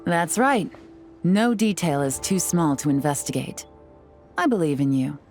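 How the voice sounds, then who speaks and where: A woman voicing a cool, confident young female spy in a video game cutscene, calm and poised with a quietly teasing edge. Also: low-pitched, unhurried. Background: faint game music.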